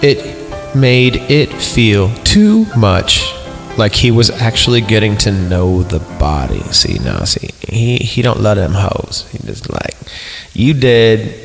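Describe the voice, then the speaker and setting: A man's voice: on the low side, very animated, slow pace, creaky, soft background music. A man hosting a podcast, reading a story aloud and breaking off into rambling, irreverent joking asides. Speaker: podcast host.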